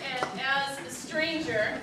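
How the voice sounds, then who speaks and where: Female event host, higher-pitched, informal and grateful, heard far from the mic in a noisy hall. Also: slow, a loud crowd. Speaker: producer-director introducing a performance. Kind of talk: informal welcome speech to a live audience. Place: meeting house hall with live audience. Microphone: distant microphone. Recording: echoey hall, distant mic, noisy audience.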